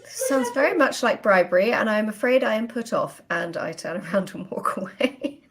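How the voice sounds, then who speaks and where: A woman's voice: mid-range voice, lively intonation, normal speed, a little hiss or hum. A woman playing a tabletop role-playing game, chatting casually and speaking for her character.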